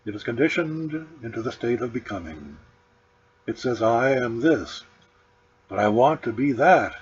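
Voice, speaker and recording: Slow, solemn man reading aloud. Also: medium pitch, expressive. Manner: deliberate, solemn, measured phrasing with pauses. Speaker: author reading his own book. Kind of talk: scripted audiobook narration of a spiritual book. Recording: dull lo-fi recording with background noise.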